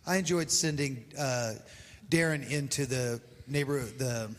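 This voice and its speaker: A man in his forties, a pastor leading a group debrief on a handheld mic, relaxed and joking with many fillers. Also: medium pitch, measured pace, a little hiss or hum. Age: 40s. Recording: long hall reverb.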